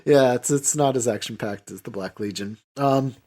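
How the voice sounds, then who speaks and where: A male podcast host with a middle-pitched voice talks casually through a film. His delivery is halting and colloquial, with swearing dropped in freely. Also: a little mellow. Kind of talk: casual film discussion and recap.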